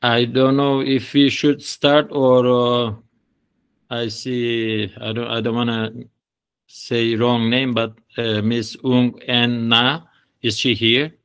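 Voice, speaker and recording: Smooth-voiced Turkish man, politely hesitant. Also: medium pitch, level tone, slow, fairly bright tone. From Turkey. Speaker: conference presenter. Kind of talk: casual online meeting chat. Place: online video meeting.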